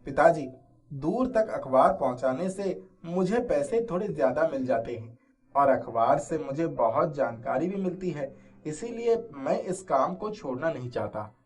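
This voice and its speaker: Man playing earnest young student son, high-pitched. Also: animated, unhurried, darkish tone. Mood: earnest, reassuring. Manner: polite, earnest. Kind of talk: scripted story dialogue.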